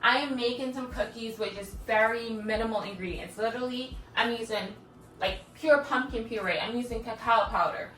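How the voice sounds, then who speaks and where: A woman's voice: on the high side, normal speed, fairly bright tone. A woman with a Jamaican accent chatting casually as a cooking vlogger, filling her talk with "like" and "guys". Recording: echoey room, distant mic, music underneath.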